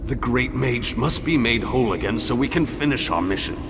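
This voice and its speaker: An actor playing a pompous, self-assured great wizard in a video game, speaking in a grand, formal, theatrical way. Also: male voice, mid-range voice, expressive, fairly quick, background noise, natural voice. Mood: pained, resolute. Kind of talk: video game character acting. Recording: loud music behind the voice.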